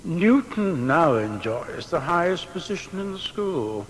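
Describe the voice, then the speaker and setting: Stern schoolmaster, audio drama, deliberate and slow, raised pitch, long reverb. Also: male voice, big pitch swings, slight background noise. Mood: solemn disapproval. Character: stern male schoolmaster reporting on a pupil. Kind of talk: dramatised biography acting. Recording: long reverberant hall echo.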